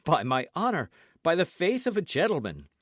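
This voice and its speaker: Man acting a bold, gallant young guardsman, very animated. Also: medium pitch, moderate pace, dry recording, studio quality, pitched above the performer's own voice, lightly acted. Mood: bold, earnest. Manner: emphatic, courtly, formal speech. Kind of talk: dramatised audiobook reading.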